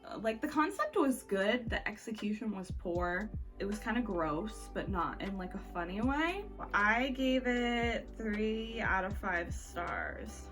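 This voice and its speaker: A woman's voice: mid-range voice, lively intonation, a little slow, music in the background. A woman talking casually and conversationally as a book vlogger, giving informal reviews with wry asides.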